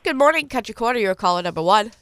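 A woman with a cold hosting a call-in swap show on the radio, reading listings and repeating phone numbers slowly and clearly. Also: fairly high pitch, expressive, fairly bright tone, dry recording. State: stuffy from a cold.

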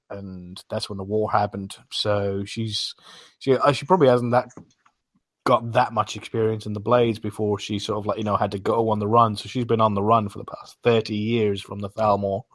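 A man's voice: fairly low pitch, dry recording. A man on a podcast panel, casually explaining the story of a game.

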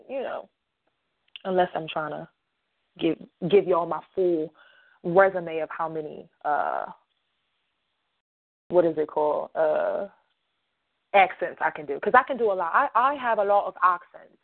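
A woman hosting a podcast who talks straight to her listeners in a loose, rambling way, full of fillers and sudden rises in pitch. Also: animated, normal speed, gravelly, pauses often, no echo. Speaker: podcast host. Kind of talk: casual monologue to listeners. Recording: tinny dry phone-like line.

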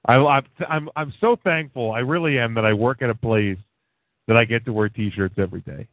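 A man's voice: medium pitch, dry recording. A man of thirty co-hosting a wrestling radio show, chatting casually, rambling and restarting his sentences. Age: 30s. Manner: rambling, restarts often, quick to joke. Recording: tinny phone-like sound, dry.

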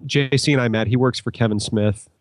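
A man's voice: medium pitch, fairly even intonation, fairly quick, no echo, clean studio sound. A middle-aged American man chatting casually as a podcast guest, telling anecdotes.

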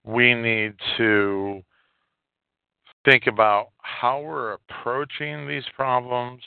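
A man hosting a solo podcast, giving unscripted political commentary in a halting way and repeating phrases for emphasis. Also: mid-range voice, flat delivery, vocal fry, clean tone, no room sound. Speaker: podcast host. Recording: muffled, tinny phone-like sound.

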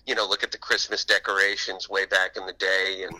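Bright, forceful man telling stories. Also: sits low, flat delivery, fairly quick, low-quality recording. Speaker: author being interviewed. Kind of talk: conversational radio interview, anecdotal storytelling.

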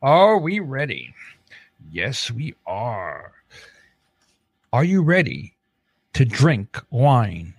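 Slow, animated higher-voiced man on a comedy podcast. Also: slightly rough, no room sound, studio quality. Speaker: comedy podcast co-host reading odd news. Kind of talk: casual comic banter and news reading.

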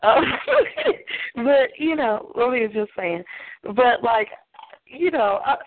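A woman's voice: fairly high pitch, animated, takes it slowly. A woman from Nashville, hosting a radio talk show in giggly, effusive casual chat. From Nashville, Tennessee. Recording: tinny phone line, dry.

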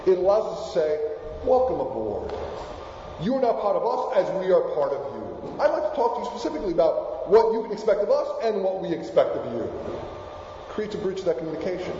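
A man from Argentina gives an animated talk in a large, echoing hall. His voice sits somewhat high but sounds mellow and warm. The recording is dull, and faint room noise sits underneath. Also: quick pace. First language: Spanish. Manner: animated, asks the audience questions. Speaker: keynote speaker. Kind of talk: lively presentation to a live audience.

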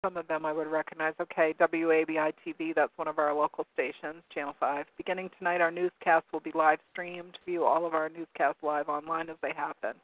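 Hoarse man on a tinny phone line. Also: on the high side, flat delivery, a little fast, few pauses. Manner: rambling, searching for words with many ums. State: hoarse, losing his voice. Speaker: call-in talk show host. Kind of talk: casual talk radio commentary, reading from web pages. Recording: narrow phone-like line, dry.

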